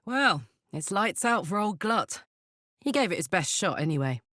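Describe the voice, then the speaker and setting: An actress playing a brash, warm-hearted, battle-hardened tiefling barbarian woman in a video game, blunt, cheeky and earnest. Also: medium pitch, sing-song, normal speed, dry recording, clean studio sound. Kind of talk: scripted game character voice lines.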